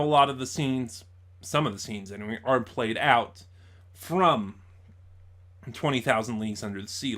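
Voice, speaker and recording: A man's voice: mid-range voice, a bit bright. A man reviewing in an informal commentary style, thinking aloud and correcting himself as he goes. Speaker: audio drama reviewer.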